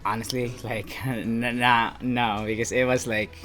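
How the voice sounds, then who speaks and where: A man's voice: mid-range voice, little pitch movement, unhurried, fairly bright tone, faint music behind. A man of about thirty from the Philippines, chatting casually and hesitantly, full of fillers. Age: 30s. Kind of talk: casual chat.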